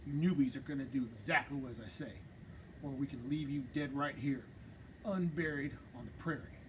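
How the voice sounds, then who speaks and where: A man's voice: medium pitch, moderate pace, a little hiss or hum, close to an everyday voice, poor audio. A man reading a smirking, menacing male outlaw gang leader in a script table reading, with drawling, bossy threats.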